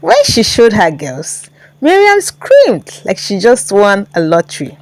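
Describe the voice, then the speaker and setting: A woman's voice: medium pitch, huge pitch swings, unhurried, dry recording. A woman with a Nigerian accent telling a story in a dramatic scripted narration.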